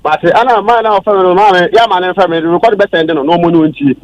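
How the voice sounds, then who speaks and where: Male Ghanaian radio participant, high voice, quick heated replies with halting repetitions of words. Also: no echo, rough recording. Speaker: participant questioned on a radio show.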